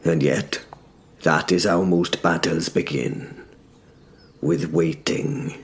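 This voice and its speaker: A man playing a wry, fearful young medieval knight who narrates his battles in a deadpan, measured way for an audio drama. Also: low voice. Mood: wry, brooding. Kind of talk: first-person scripted dramatic narration.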